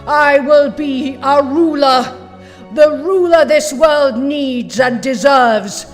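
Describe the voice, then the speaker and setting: A woman playing a cold, condescending mad scientist villain in an audio drama, with a haughty, measured manner and false sweetness, in a reverberant space. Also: sits high, faint music behind. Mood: triumphant, scheming. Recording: long reverberant hall echo.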